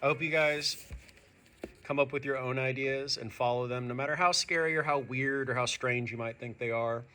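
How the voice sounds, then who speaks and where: A man who is a teacher reading a picture book aloud to young students, expressive and speaking to them directly. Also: medium pitch, normal speed, a little hiss or hum. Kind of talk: animated children's read-aloud.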